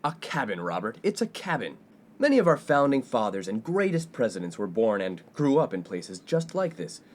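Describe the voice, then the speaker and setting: A man's voice: mid-range voice, sing-song, moderate pace. A man playing a brash, self-absorbed college playboy in a comedic radio play, breezy and self-important. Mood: breezy, smug. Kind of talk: comedic 1950s-set radio play acting. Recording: faint background noise.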